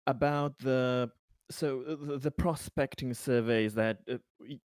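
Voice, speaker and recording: Man, roleplaying game player, mid-pitched voice, hesitant, formally worded improvised questioning, dry close sound. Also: fairly even intonation, unhurried, darkish tone, smooth, dry recording. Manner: hesitant, formal wording, searching for words.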